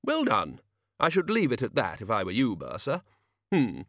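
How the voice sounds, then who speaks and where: A man voicing a loud, pompous older head wizard who blusters in a high, exclaiming voice, in a storybook reading. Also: huge pitch swings, moderate pace, dry recording, studio quality. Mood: blustering.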